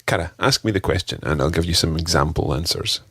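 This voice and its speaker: A man's voice: deep voice, normal speed, vocal fry, fairly bright tone, breathy edge, no echo, studio quality. A man teaching a podcast language lesson, patiently modelling pronunciation and repeating drills for a learner. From Scotland.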